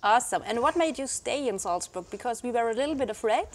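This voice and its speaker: A woman's voice: on the high side, expressive, fast. A woman hosting a casual interview in an informal, upbeat way. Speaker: interviewer, host. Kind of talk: casual live interview chat.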